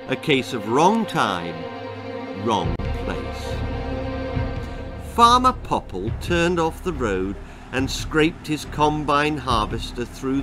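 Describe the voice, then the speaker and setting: A man in his sixties reads a children's tale aloud as a storyteller. His delivery is slow and theatrical, with a lively, swooping pitch. He is deliberate and relishes every grisly word. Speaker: author reading his own story. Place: small indoor room lined with bookshelves.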